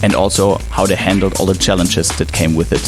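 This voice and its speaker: A man hosts a podcast with a very deep voice, pausing often with fillers and restarts as he asks his questions. Music plays clearly behind him. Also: flat delivery. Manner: halting, many fillers. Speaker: podcast host. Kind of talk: interview hosting.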